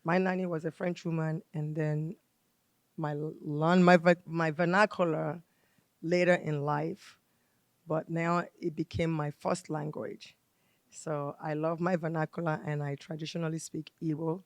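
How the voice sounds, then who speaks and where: A woman's voice: sits low, flat delivery, a little slow, no room sound. A middle-aged woman with a Nigerian accent explains her profession on a talk show. She leans on her main points and says them again for emphasis. Her voice is recorded dry, with almost no room sound. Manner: emphatic, explains patiently, repeats key phrases. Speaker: pharmacist guest.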